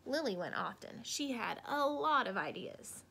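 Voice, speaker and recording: A woman reading a children's storybook aloud expressively, with deliberate dramatic emphasis. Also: fairly high pitch, sing-song, vocal fry, pushed and bright, husky. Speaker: storybook reader.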